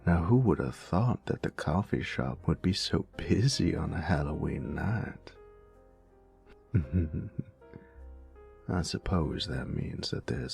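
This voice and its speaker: A man playing a flirtatious, doting roommate and lover in an intimate ASMR roleplay, with a teasing, folksy drawl and breathy, whispery moments. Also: a little mellow, full character voice. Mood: playful, upbeat. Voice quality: very deep, gravelly, breathy whispers.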